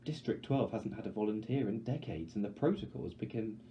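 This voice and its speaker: Male audiobook reader: low, very soft-edged tone, dramatic storytelling, slightly dull, noisy recording. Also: lively intonation, brisk. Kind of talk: scripted story narration, dramatic reading. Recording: dull recording with light background noise.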